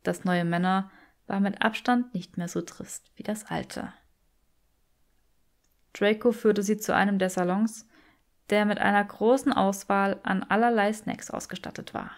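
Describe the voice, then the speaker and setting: A woman's voice: mid-range voice, steady tone, measured pace. A woman reading fiction as an audiobook narrator in scripted narration.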